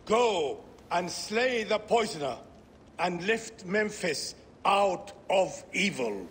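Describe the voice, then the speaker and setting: An actor playing a solemn, commanding seer who delivers sacred visions in a video game cutscene. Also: male voice, sits high, very animated, takes it slowly, fairly bright tone, exaggerated voice. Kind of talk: slow oracular game cutscene speech. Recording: long echo, faint ambient noise. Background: faint ambience.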